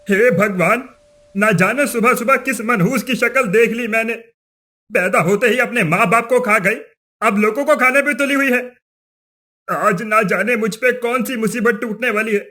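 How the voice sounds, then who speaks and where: A cruel village headman in a cartoon moral tale, acted by a man, scolds with open contempt. His voice is very high for a man and sharp, with a forceful, bullying edge. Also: moderate pace, exaggerated voice. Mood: disgusted, scornful. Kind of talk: Hindi cartoon moral story dialogue.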